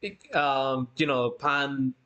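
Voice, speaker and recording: A livestream host chatting in an excited, gushing, giggly way while reacting to a game. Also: mid-range voice, slow. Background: game music.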